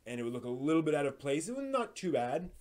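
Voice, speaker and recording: A man hosting a casual live stream, explaining hobby projects in a halting, thinking-aloud way. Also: fairly high pitch, big pitch swings, a little mellow, a little hiss or hum.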